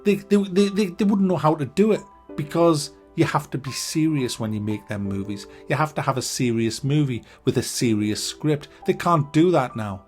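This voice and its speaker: A man giving a ranting movie review, animated, sarcastic and full of swearing. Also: on the high side, moderate pace, soft background music.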